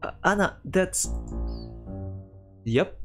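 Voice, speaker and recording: A streamer giving casual, halting commentary while playing a visual novel and reading it aloud. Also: mid-range voice, lively intonation. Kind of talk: casual game commentary mixed with read-aloud dialogue. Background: guitar music from the game.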